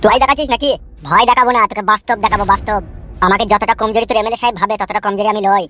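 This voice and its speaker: A man's voice: high voice, fairly even intonation, very fast, sharp tone, faint music behind. A man voicing a brash, foul-mouthed young villager in a comic cartoon, with a rural West Bengal accent and a blustering manner. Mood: threatening bluster. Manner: blustering, crude.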